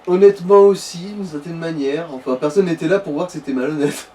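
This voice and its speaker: A man's voice: on the high side, fairly quick, mellow. A man chatting casually as a gaming companion, explaining things and giving tips. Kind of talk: casual chat. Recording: slightly distant mic, background noise.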